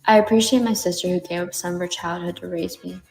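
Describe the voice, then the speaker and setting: A young woman from Baltimore tells her own story in a reflective, heartfelt voice-over. Her voice is on the low side and moves quickly. It carries a long reverb with faint music underneath. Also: steady tone, gravelly, darkish tone. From Baltimore, United States. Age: young adult. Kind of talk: personal narration.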